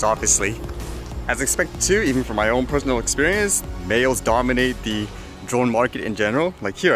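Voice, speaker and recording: Casual male vlogger, music behind him. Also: mid-range voice. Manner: musing, informal, poses questions to himself and viewers. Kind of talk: casual vlog chat, reading news items aloud with comments. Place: outdoors in a windy urban area. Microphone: action camera. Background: clear background music.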